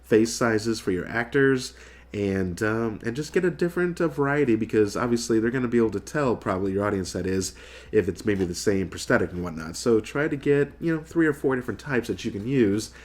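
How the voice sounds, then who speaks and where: A man's voice: lowish voice, fairly quick. An American man in his thirties, nasal from getting over a cold, casually explaining things to camera as a video host. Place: furnished room indoors.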